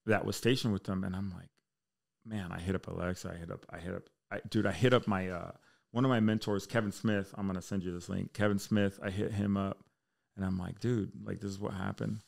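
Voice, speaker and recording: Low, creaky-voiced American man. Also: steady tone, fairly quick, darkish tone. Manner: candid, slangy, frequently saying bro and man. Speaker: podcast guest, military fire chief. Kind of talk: casual podcast chat.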